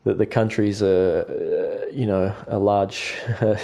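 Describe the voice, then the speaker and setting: An Australian man speaking in a low, mellow voice. He thinks aloud in a relaxed podcast chat, pausing often on ums and restarting his sentences. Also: vocal fry. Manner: hesitant, many fillers and restarts. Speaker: guest artist. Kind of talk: reflective podcast conversation.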